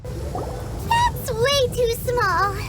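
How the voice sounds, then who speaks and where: Tiny, squeaky, very high-pitched fairy companion. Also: female voice, big pitch swings, very slow, music in the background, exaggerated voice. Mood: skeptical. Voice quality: squeaky, childlike. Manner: cute, exclamatory. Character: small childlike fairy companion, excitable, fretful, speaks in third person. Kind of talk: video game character acting.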